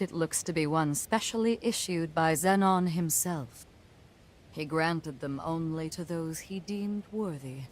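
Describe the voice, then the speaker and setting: An actress playing a solemn, composed woman who guides a hero in a video game, speaking formally and earnestly in measured phrases. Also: low-pitched, a little hiss or hum. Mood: calm, appraising. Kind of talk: dramatic video game acting.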